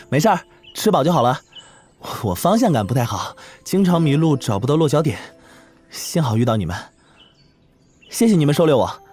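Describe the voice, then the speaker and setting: An actor voicing an easygoing, kind young male wanderer in an animated fantasy drama. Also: mid-range voice, normal speed, long pauses, soft background music, close to an everyday voice. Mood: cheerful.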